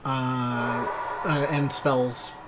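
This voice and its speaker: A man's voice: medium pitch, level tone, extremely slow, background noise. A man giving casual commentary on a card game as a podcast co-host, chatty and thinking aloud with a partner.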